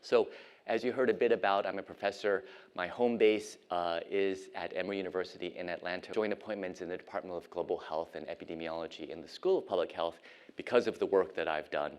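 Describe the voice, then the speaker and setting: A middle-aged American man from Ohio giving an academic lecture as a physician and professor, measured and pausing with frequent ums and uhs. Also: low-pitched. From United States (Ohio).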